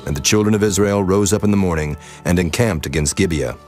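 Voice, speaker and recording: A man with a deep voice narrates scripture. His delivery is solemn and measured, read from a script over a clearly audible music bed. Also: a little mellow.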